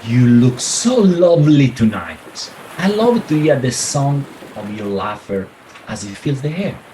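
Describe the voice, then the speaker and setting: Smitten male wooer actor, effusive radio play delivery, middling pitch with expressive swoops. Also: a little slow, slight background noise, rough recording. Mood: adoring. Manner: florid, effusive.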